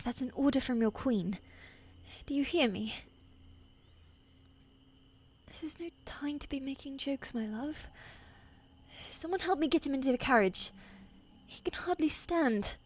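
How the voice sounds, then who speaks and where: Woman acting high-voiced imperious medieval queen. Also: a little slow. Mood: urgent worry. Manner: imperious, threatening, softening into tenderness. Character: fierce commanding young evil queen, tender toward her husband. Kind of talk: medieval fantasy ASMR audio roleplay.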